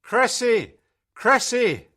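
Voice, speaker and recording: An actor plays a red-faced, bullying boss in a dramatised story. He shouts angrily in a high voice that swings widely, stretching his words out very slowly. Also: very animated, exaggerated voice. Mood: furious. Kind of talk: acted audiobook dialogue.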